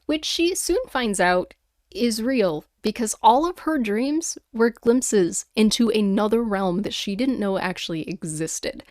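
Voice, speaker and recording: A woman hosting a podcast, reading listener stories and reacting in an animated, informal, exclamatory way. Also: medium pitch, dry recording, clean studio sound. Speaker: podcast host.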